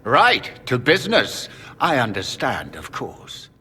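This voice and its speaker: An actor playing a courteous, commanding middle-aged magister in a fantasy video game, speaking in a formal, polished, unctuous manner. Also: male voice, mid-range voice, huge pitch swings, slow pace, sharp tone, breathy edge, in a large hall, exaggerated voice. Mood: courteous, controlled. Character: smooth, courteous Tevinter magister, commanding older father. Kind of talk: theatrical video game acting.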